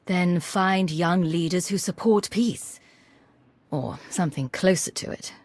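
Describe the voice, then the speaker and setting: Low-voiced, composed female commander. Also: unhurried, a little mellow. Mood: calm, earnest. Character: composed, assured female intelligence agent turned commander. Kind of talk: video game voice acting.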